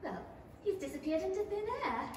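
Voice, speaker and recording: A woman's voice: sits high, expressive, brisk. A woman playing a polite, earnest, easily startled young girl in a theatrical radio play style. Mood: musing. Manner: exclaiming, theatrical, prim. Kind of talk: 1930s radio play acting. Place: small indoor theatre stage, camera at a distance. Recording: very echoey hall from far off, noisy.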